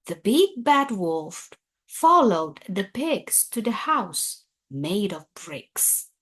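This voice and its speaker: A woman reading a children's story aloud in a deliberate, expressive storytelling manner. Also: mid-range voice, husky.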